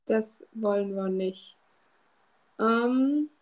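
A woman's voice: a little high, very slow. A woman chatting casually as a player in a tabletop game, tentative and full of questions about her moves.

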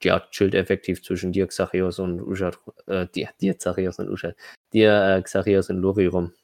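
A man's voice: low voice, completely flat delivery, normal speed, gravelly, darkish tone, no echo, clean studio sound. A man running a tabletop game, improvising narration and rulings, often searching for his words. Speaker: game master.

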